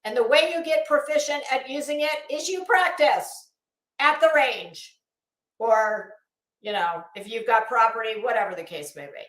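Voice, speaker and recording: A woman's voice: fairly high pitch, moderate pace, a bit bright. A blunt, sweary woman ranting casually to viewers as host of a livestream, emphatic and often restarting her phrases. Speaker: host of a live commentary channel. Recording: echoey, roomy sound.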